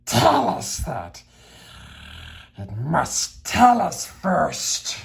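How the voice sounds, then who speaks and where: A woman's voice: sits low, wildly swooping pitch, drawn-out words, vocal fry, voice pushed up, heavily acted. A woman voicing a wretched, hissing male cave creature who whines and talks to himself. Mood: shrill, petulant. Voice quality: hissing, shrieking. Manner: talks to himself, wailing and whining.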